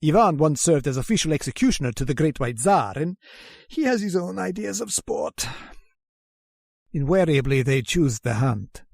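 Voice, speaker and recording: Suave, gravelly, sinister general. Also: male voice, a little high, very animated, moderate pace, a little mellow, dry recording, clean studio sound, exaggerated voice. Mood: smug, measured. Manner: suave, courteous, theatrically menacing. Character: genteel, sinister aristocratic general who hunts humans. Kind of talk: old-time radio horror drama acting.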